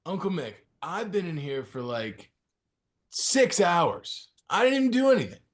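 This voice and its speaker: A man performs a ski bum dude in his twenties, slangy and whiny. His high voice swings around a lot as he pleads his way through a comic script reading. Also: big pitch swings, unhurried. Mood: whiny protest. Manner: slangy slacker, whining. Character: laid-back, pleading young ski bum, male, twenties. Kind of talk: comedic table-read acting.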